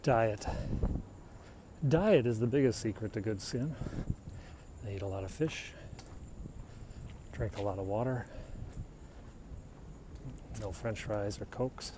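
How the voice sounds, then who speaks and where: A man's voice: lowish voice, takes it slowly, long pauses. A middle-aged man from the United States, chatting casually on a live stream and answering viewers' questions as he walks outdoors, filmed on a handheld phone. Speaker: livestreamer. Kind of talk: casual live-stream chat while hiking. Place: outdoors on a hilltop walk. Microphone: phone held while walking. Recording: noisy outdoor phone recording.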